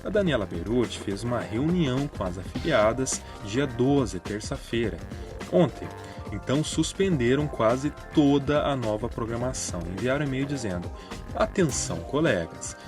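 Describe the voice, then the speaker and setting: A Brazilian man with a mid-pitched voice narrates news commentary. He explains things informally, as in a video rundown. Music is clearly audible behind his voice. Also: lively intonation, normal speed. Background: background music.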